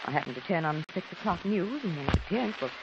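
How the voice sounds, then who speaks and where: A woman's voice: on the low side, expressive, quick pace, gravelly. An actress playing a scorned, scheming woman turned killer in a theatrical, heightened 1940s radio drama style. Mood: smoothly plausible. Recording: noisy, muffled old radio broadcast recording.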